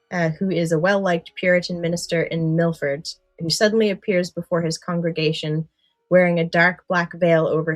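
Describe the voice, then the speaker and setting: A woman's voice: fairly low pitch, no echo, low-quality recording. A woman co-hosting a podcast in casual chat, recounting favourite stories and often correcting herself. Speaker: podcast co-host.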